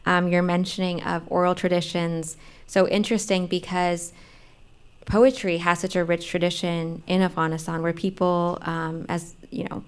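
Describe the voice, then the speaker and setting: A woman's voice: fairly low pitch, little pitch movement, normal speed, smooth. A woman hosting a live event, asking a guest questions politely into a studio mic on a small stage. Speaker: host. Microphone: studio mic on a stand.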